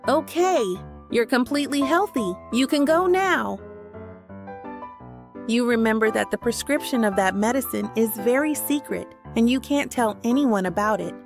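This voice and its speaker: Sly cartoon pony nurse, coaxing, higher register, played by a woman, light music underneath. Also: normal speed, creaky. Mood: coaxing, reassuring. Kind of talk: fan comic dub.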